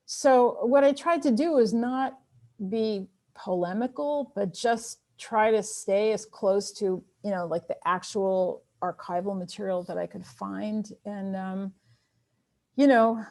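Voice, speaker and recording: Woman author, Armenian first language, mid pitch, thoughtful and encouraging, light laughs. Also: takes it slowly, warm tone. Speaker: author answering audience questions. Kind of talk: online Q&A conversation.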